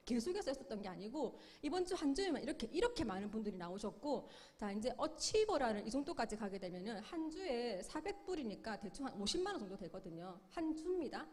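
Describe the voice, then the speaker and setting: A woman's voice: fairly high pitch, a little mellow, in a large hall. A middle-aged woman giving a sales seminar lecture, upbeat, explaining with questions and acted-out quotes. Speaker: business seminar speaker.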